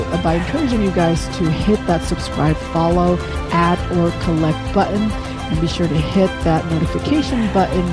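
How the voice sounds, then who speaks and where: A woman from Indonesia hosting a solo podcast, talking straight to her listeners in an emphatic way, often repeating words for stress. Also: on the low side. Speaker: podcast host.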